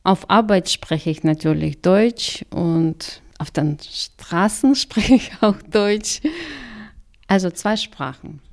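A middle-aged woman speaks German with a Russian accent. She talks slowly, in a somewhat low voice with a gravelly edge. She answers carefully and leans on repeated words for emphasis. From Kazakhstan. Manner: careful, repeats "sehr, sehr" often. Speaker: interviewee, migrant community worker. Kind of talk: radio interview answers.

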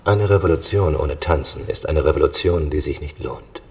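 A man's voice: extremely low pitch, moderate pace, creaky, a little mellow. A man playing a solemn suitor in a dramatic radio station jingle. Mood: seductive, solemn. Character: man wooing someone to dance before revolution.

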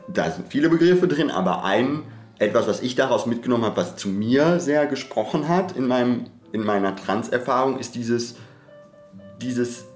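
A man's voice: mid-range voice, darkish tone. A man in a reflective podcast discussion, speaking in a searching, self-correcting academic way. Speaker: podcast co-host. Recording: roomy echo, background guitar music.

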